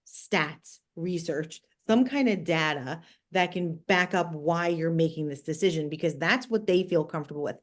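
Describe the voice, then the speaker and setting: A woman with a New Jersey accent giving conversational advice as an interview guest, explaining things emphatically and directly. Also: low voice. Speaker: podcast guest, communications expert.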